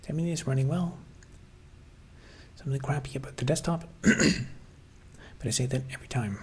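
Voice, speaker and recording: Guy on a coding stream, voice kinda tired n hoarse, keeps clearing his throat, lots of ums, faint hum behind. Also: medium pitch, normal speed, pauses often. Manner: clears throat often, hesitant with many fillers. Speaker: software developer livestreaming. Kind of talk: casual livestream chat, answering viewers.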